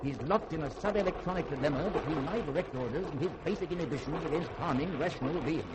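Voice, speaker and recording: A man's voice: a little high, quick pace, heavy background noise, close to an everyday voice. A man in a 1950s radio drama playing an erudite, reclusive scholar who speaks in eloquent, formal, lecturing sentences. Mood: commanding, lecturing.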